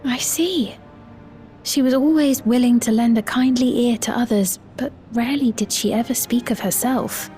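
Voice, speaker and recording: An actress playing a gentle, composed woman leader in a game cutscene, speaking formally and with measured reassurance. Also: on the high side, faint music behind, natural voice. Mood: solemn, tender. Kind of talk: dramatic video game cutscene acting.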